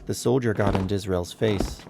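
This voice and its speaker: A man's voice: lowish voice, fairly even intonation, moderate pace, gravelly, noisy background. A man narrating a dramatized audiobook in a scripted, dramatic storytelling style.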